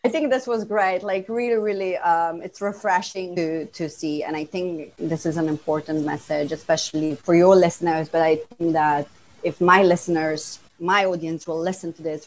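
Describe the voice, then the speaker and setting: A woman's voice: on the low side, fairly even intonation, normal speed, poor audio. A woman from Slovenia, a guest life coach chatting in a bubbly, rambling way on a podcast. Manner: bubbly, rambling, laughs often. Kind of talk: casual podcast chat.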